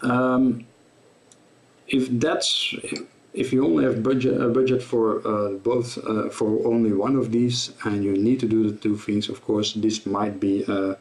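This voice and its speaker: A man giving an informal tutorial explanation as a presenter, in a halting, self-correcting way. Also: lowish voice, flat delivery, darkish tone. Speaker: presenter of guitar gear tutorial.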